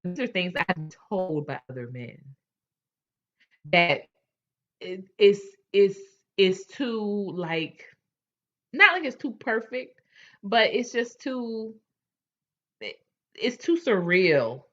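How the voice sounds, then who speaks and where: A woman's voice: medium pitch, sing-song, slow, long pauses, a bit bright, highs cut off. A woman hosting a casual chat, candid and chatty, often restarting her sentences. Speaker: host.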